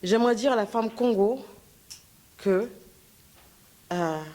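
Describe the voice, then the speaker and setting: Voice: mid-range voice, takes it slowly, a little mellow. Earnest, hesitant conference speaker. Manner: earnest, hesitant, many fillers. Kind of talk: prepared public address.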